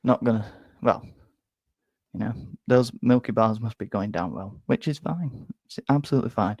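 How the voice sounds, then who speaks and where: A man's voice: on the low side, normal speed, vocal fry, no echo. Rambling young Yorkshire man, dry close sound. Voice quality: bit nasal, flat. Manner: rambling, self-deprecating, stumbles and repeats words. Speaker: football fan streamer reading chat. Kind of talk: casual live-stream chat.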